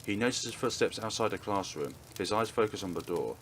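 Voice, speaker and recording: A man's voice: fairly low pitch, expressive, fairly quick, a bit bright, a little hiss or hum. A man reading a visual novel aloud in a dramatic narrating style as a let's play host. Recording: music playing behind. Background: game music.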